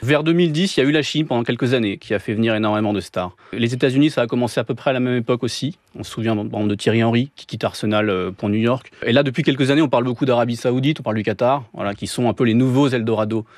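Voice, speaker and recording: A man's voice: medium pitch, rapid-fire, few pauses, a little mellow, dry recording. A man in his thirties with a French accent from France, explaining his thoughts reflectively as a novelist being interviewed. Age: 30s. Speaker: guest, novelist. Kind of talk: interview conversation.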